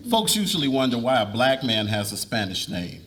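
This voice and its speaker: A man's voice: medium pitch, big pitch swings, fairly quick, fairly bright tone. A man reading the part of an earnest, polite young college student in a dramatic reading of a novel. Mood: friendly, forward.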